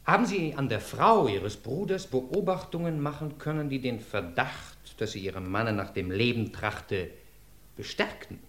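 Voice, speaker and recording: A sharp, insistent lawyer in a German radio crime play. He questions formally and relentlessly. The tone is bright and animated, with a roomy echo around it. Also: mid-range voice, unhurried. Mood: probing. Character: sharp, persistent male courtroom lawyer. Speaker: lawyer cross-examining.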